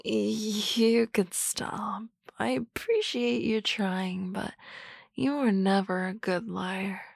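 A drunk young boss, flustered and rambling, drifting between slow talk and soft whispers in a gravelly, unhurried voice. A woman acts her out close to a dry microphone. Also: mid-range voice, steady tone, no echo. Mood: tipsy. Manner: slurry, rambling, often whispering. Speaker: voice actress. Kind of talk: ASMR roleplay.

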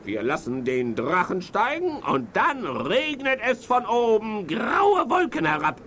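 An actor playing a grumpy, blustering little villain who cackles theatrically in a children's audio play. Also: male voice, high-pitched, huge pitch swings, unhurried, fairly bright tone, a little hiss or hum, exaggerated voice. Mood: bossy, excited. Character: grumpy little cartoon villain gleefully greying the world.

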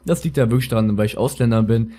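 A man's voice: medium pitch, fairly even intonation, fast talker, warm tone. A young man with a lisp giving casual, rambling, slangy gameplay commentary as a let's player. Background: music.

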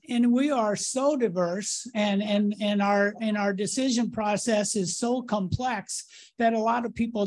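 A man moderating a conference panel, speaking with many halting restarts and repeated words. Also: high-pitched, darkish tone.

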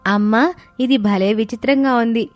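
A woman's voice: on the high side, moderate pace, no room sound, natural voice. Lady doing a curious little kid, braggy n pushy, storybook dialogue, faint music. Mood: curious, insistent. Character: curious, boastful, demanding young child.

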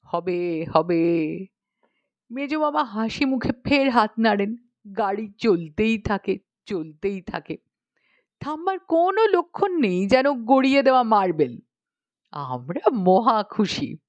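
High-pitched woman narrating a humorous story. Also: sing-song, unhurried, no echo, muffled recording. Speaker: audiobook narrator.

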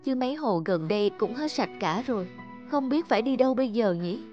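A woman voicing a weary, anxious and devoted mother stork in an animated fable, tender and worried. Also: a little high, fairly quick, muffled recording, natural voice. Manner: tender, worried, talking to herself. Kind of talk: animated moral fable voice acting. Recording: music behind the voice.

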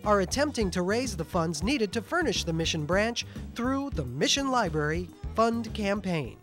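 Male program narrator, higher register, scripted read, light music underneath. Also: big pitch swings, normal speed, a bit bright.

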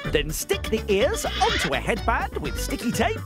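A man, presenting crafts for young children in an excitable, exaggerated and clearly enunciated way. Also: very high voice, moderate pace. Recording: music bed behind the voice. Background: children's show music.

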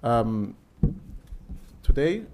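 A man in his thirties from Guatemala, a native Spanish speaker with a Guatemalan accent, giving a conference lecture in a conversational, often pausing way into a handheld microphone in an auditorium. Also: mid-range voice, very animated, background noise. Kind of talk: public lecture.

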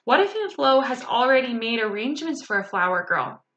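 A woman's voice: a little high, big pitch swings, a little fast, a bit bright, echoey room, lo-fi sound. Woman as a mild, hesitant old grandpa. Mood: doubtful. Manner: mild, reluctant, folksy. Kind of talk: children's storybook read-aloud.

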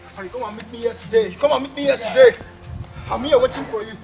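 A man's voice: extremely high pitch, fast talker, gravelly, darkish tone, soft background music. An actor playing a jealous young village man, who stammers and repeats himself as he pleads with and challenges his father. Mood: defiant. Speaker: actor in a comedy skit.

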